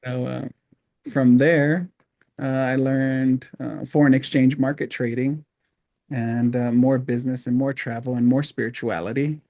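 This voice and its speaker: A middle-aged man from Hawaii, a podcast guest telling his life story in a relaxed, rambling way with many fillers. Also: mid-range voice, unhurried, no echo. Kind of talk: casual storytelling interview.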